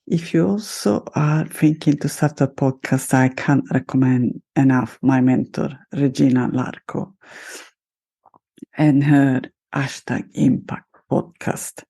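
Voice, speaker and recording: A woman's voice: very deep voice, steady tone, slow pace, creaky, mellow, husky. A middle-aged woman with an Italian accent, a podcast host and life coach talking alone to her listeners in an upbeat, proud manner. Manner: upbeat, self-celebrating, talks straight to listeners. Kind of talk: solo podcast monologue.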